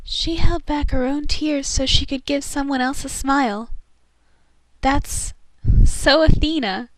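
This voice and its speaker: A woman's voice: high-pitched, slow, no room sound, rough recording. A woman playing an imaginative, perky teenage girl in an amateur game fandub, girlish and theatrical. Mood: concerned.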